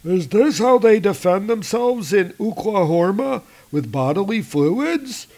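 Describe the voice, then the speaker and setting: A man voicing a crude, hungry male troll from a comic, pitched up and gloating, in a loud, brutish manner. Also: lively intonation, a little slow.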